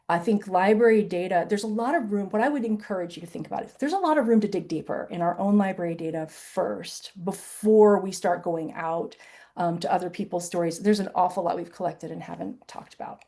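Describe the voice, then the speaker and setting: A woman in her fifties gives a relaxed, conversational answer in an online talk. Her voice sits in the middle range with a mellow, darker tone. She talks in an animated way and keeps tossing in "right?" as she goes. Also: mid-range voice. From Southern United States and Illinois. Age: 50s. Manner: chatty, animated, tags points with "right?". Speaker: author and webinar presenter.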